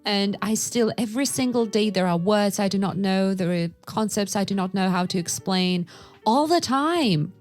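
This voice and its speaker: Woman hosting a casual english chat, mid pitched voice, music underneath. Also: normal speed. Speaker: podcast host, Russian teacher.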